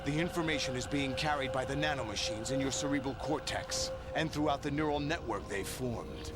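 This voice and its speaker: An actor playing a menacing older male villain, a ruthless commander and foster father, grandiose and theatrical in a video game cutscene over loud music. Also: medium pitch, a little fast, natural voice. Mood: grim.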